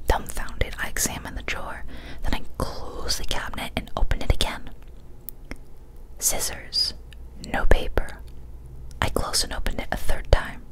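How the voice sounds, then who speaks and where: A young woman whispers a horror story in an ASMR style. Her voice is breathy and unvoiced, kept soft and hushed right up at a studio microphone. She reads with careful, deliberate phrasing. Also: poor audio. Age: young adult. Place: small decorated indoor room. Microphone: large studio microphone, close up.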